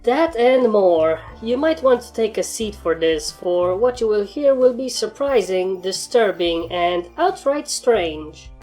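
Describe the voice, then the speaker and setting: A woman's voice: mid-range voice, expressive, a little slow, hardly any pauses, mellow, faint music behind, voice pushed up. A woman voicing an anxious pony with a dry, wry manner in a fan fiction story reading. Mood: resigned, serious. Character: anxious, sarcastic pony with a wary streak.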